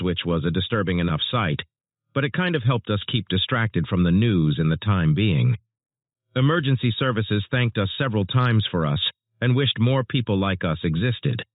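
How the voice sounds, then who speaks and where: A tinny narrow band, dry of any room, holds a lowish man's voice. He acts a sober, earnest storm chaser answering interview questions from a script. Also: lively intonation, moderate pace, no room sound, natural voice. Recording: muffled narrowband phone-like audio.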